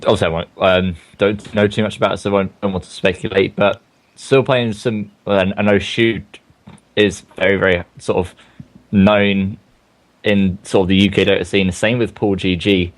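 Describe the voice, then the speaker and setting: A young man with a hoarse, strained voice, casting an online game match in a rambling, filler-heavy way. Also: low-pitched, level tone, normal speed. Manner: rambling, many fillers and restarts. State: losing his voice. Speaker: esports caster. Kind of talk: casual game commentary.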